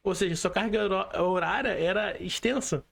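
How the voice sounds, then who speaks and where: Carioca guy hosting a podcast, kinda high voice, gravelly edge, super chatty, slang and "né" everywhere. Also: moderate pace, a bit bright. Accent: Carioca Brazilian Portuguese. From Rio de Janeiro, Brazil. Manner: slangy, chatty, many fillers like "né" and "mano". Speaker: podcast host. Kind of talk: casual interview chat. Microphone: remote call.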